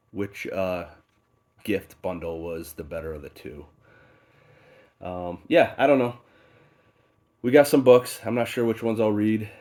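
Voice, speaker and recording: Low-voiced man, stuffy from a cold. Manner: relaxed and unhurried, with many fillers. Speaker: book channel host. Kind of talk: casual unboxing talk. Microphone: off-camera voice, faceless video.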